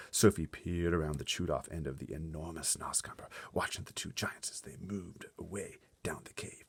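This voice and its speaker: Low, husky man reading a storybook. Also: fairly bright tone, no echo. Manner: dramatic, with brief playful asides. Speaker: story reader. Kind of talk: expressive storybook narration.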